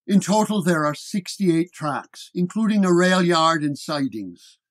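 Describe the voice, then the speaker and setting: A man voicing a brash, sneering young police sergeant in a detective story, gruff and needling. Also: on the high side, animated, measured pace. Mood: brusque.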